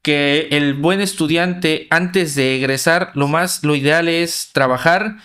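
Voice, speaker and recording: High-voiced Mexican man hosting a podcast. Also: slow, bright, forceful tone, clear, resonant tone. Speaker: podcast host. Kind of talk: casual interview chat.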